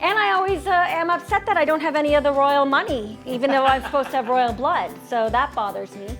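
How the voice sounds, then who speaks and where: A woman's voice: high-pitched, fairly quick, a bit bright, soft background music. Bubbly Canadian woman travel host. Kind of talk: casual chat.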